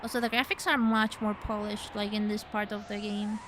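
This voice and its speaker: A woman from Chile chatting casually and excitedly while streaming a game, with the odd swear. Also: medium pitch, moderate pace, background noise. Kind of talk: casual gaming livestream chat.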